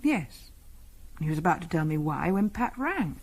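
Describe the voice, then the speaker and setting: A woman's voice: deep voice, very animated, normal speed, heavily acted. An actress playing an anxious English middle-class wife in a 1970s radio drama, earnest and hesitant as she defends her friend. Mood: worried, recounting.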